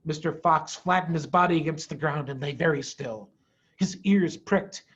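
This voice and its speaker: A man giving an expressive, dramatic reading of a children's story, with deliberate phrasing. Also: fairly high pitch, slightly rough, dull audio. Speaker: head of school reading to pupils.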